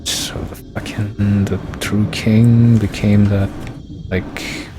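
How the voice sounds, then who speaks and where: A man's voice: fairly low pitch, flat delivery, slow, over music. A man streaming a video game, rambling and thinking aloud as he plays. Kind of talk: gameplay commentary.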